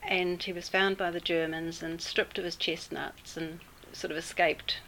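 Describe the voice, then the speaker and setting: A woman with a New Zealand accent recounts a personal story at an easy pace, pausing often with small fillers. Her voice sits a little low. A faint hiss of background noise runs under the recording. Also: little pitch movement, fairly bright tone, rough recording. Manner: unhurried, with many fillers and pauses. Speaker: interviewee. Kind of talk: casual storytelling.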